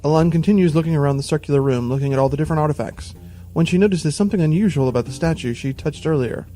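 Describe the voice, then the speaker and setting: A man narrating a scripted audio drama in a deliberate, dramatic manner. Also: on the high side. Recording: voice under loud music.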